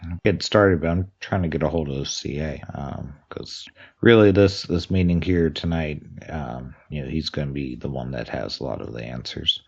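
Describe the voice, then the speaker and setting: A man's voice: extremely low pitch, normal speed, gravelly, darkish tone, breathy edge, lo-fi sound. A man joining a live stream as a guest, hesitant and trailing off with many "um"s. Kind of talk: casual live-stream talk.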